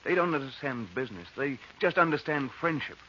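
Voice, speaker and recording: An actor in a 1940s radio drama playing a desperate, pleading locksmith with an agitated, halting delivery. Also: male voice, medium pitch, sing-song, sharp tone. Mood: earnest pleading. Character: desperate working-class locksmith pleading for money, loving husband. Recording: dull, hissy old radio recording.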